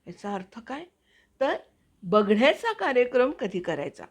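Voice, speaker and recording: A woman hosting her own channel, chatting to viewers in a casual, explanatory way with hesitant pauses as she finds her words. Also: a little high, sing-song. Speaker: actor and video channel host.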